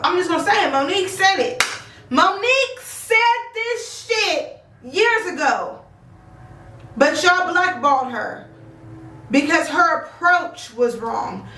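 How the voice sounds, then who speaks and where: A young woman talking bluntly in a casual vlog, swearing freely and projecting a loud voice. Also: sits high, animated, slow, slight background noise. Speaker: vlogger. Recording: echoey room, voice a bit far from mic.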